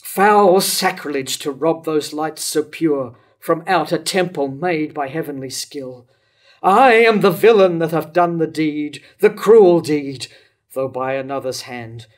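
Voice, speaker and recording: An older man playing a grief-stricken, remorseful tyrant king in a staged reading of verse tragedy, declaiming in measured lines. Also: on the high side, lightly acted. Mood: remorseful despair. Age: older, around 70. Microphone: lectern microphone.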